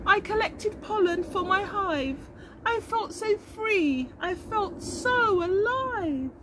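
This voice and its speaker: A very high, sing-song little bumblebee, worn out and close to tears. A woman puts her on while reading a storybook aloud. The voice climbs and swoops as it speaks. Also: expressive, takes it slowly, noisy background, full character voice. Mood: breathless. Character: tired, weepy little female bumblebee.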